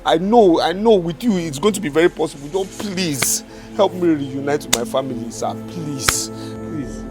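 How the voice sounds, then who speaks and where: A man's voice: fairly high pitch, normal speed, over music, close to an everyday voice. A young man playing a remorseful husband and father who pleads over and over for his family's forgiveness. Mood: pleading. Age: young adult. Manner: pleading, repeating himself. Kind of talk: film drama acting.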